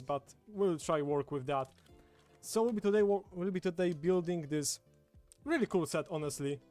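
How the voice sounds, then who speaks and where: A man's voice: on the high side, a little mellow. A man from Poland, a native Polish speaker with a Polish accent, chatting casually as he hosts a livestream. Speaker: livestream host. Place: indoors at a table, streaming setup. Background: background music.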